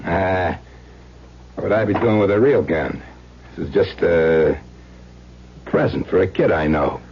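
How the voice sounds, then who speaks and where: A man's voice: deep voice, measured pace, a little hiss or hum. An actor playing a hardened, desperate ex-convict who talks in menacing tough-guy slang in a radio thriller. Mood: gruff, guarded. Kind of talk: old-time radio thriller acting. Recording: dull vintage radio recording.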